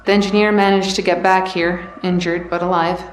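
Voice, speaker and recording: A woman playing a caring settlement leader in a video game, speaking in a measured, concerned manner. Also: lowish voice, flat delivery, reverberant, close to an everyday voice, poor audio. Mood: relieved, concerned. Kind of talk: scripted video game dialogue.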